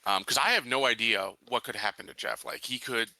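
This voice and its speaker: A man's voice: mid-range voice, brisk, sharp tone, dry recording. A man playing a tabletop roleplaying game, chatting casually and hesitantly as he thinks aloud.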